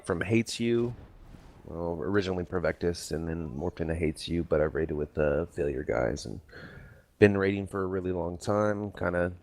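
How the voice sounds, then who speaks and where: Deep-voiced man, blunt and joking. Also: steady tone, moderate pace, darkish tone. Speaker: guest gamer. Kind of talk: casual voice chat.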